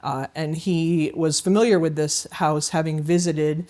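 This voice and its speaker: A man guiding a tour of a historic house, explaining slowly with many fillers and restarts. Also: on the high side, steady tone, a little mellow. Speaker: historic site guide. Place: indoors in a historic house, dining room.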